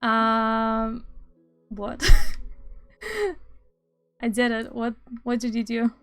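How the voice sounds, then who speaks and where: A woman's voice: on the high side, monotone, extremely slow, fairly bright tone, clear, resonant tone, no echo. A young woman from Estonia, streaming and chatting casually, rambling with dry sarcastic asides. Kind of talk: casual live-stream chat. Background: faint music.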